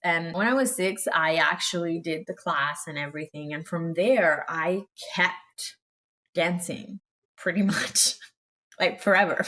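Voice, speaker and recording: A young woman from Italy speaks English in a relaxed vlog. She is chatty and emphatic, often checking in with her viewers. Her voice sits in an ordinary middle register. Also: medium pitch. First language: Italian. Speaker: professional dancer and choreographer. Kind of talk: casual talk to camera.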